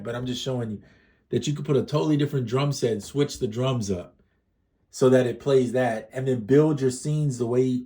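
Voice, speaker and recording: A man's voice: mid-range voice, warm tone. A man casually talking through a music gear demo, rambling and correcting himself with lots of fillers, sounding a little congested. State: slightly congested.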